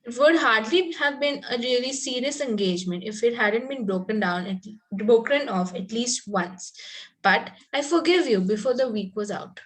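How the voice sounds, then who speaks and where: A woman's voice: on the high side, normal speed, natural voice, poor audio. A woman reading the part of a fanciful, coy Victorian young lady in an amateur table read, prim and earnest, with occasional stumbles. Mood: matter-of-fact.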